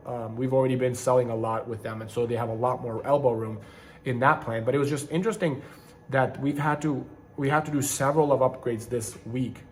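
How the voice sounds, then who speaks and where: A middle-aged man from Ukraine who hosts a channel, talking casually to camera in a rambling way and often correcting himself mid-sentence. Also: mid-range voice. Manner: rambling, self-correcting, conversational with frequent restarts. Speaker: channel host, online seller and manufacturer.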